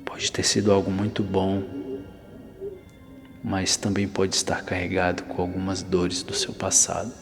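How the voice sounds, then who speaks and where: A low-voiced Brazilian man guides a meditation in an ASMR style. He speaks very slowly and calmly, leaving long pauses, with an even, barely varying melody. His voice trails into a long reverb. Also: creaky, over music. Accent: Brazilian Portuguese. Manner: calm, instructing, with long pauses. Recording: long reverberant hall-like echo.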